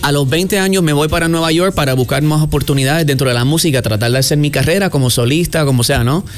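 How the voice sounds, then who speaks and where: A man's voice: fairly high pitch, quick pace. Chatty man telling his story. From Chicago, United States. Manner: chatty storyteller, many restarts. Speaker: salsa singer, interview guest. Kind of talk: casual radio interview.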